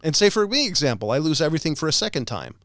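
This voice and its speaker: A man's voice: fairly high pitch, big pitch swings, racing, fairly bright tone, no room sound, clean studio sound. A man from California co-hosting a podcast, arguing earnestly and posing questions in casual chat. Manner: argumentative, earnest, poses questions. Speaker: podcast co-host.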